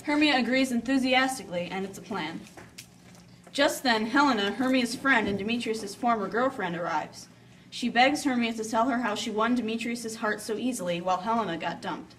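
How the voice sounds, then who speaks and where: A woman's voice: fairly high pitch, moderate pace, fairly bright tone. Woman narrator, slightly distant, playful. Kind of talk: scripted story narration with playful asides. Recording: slightly distant, faint background noise.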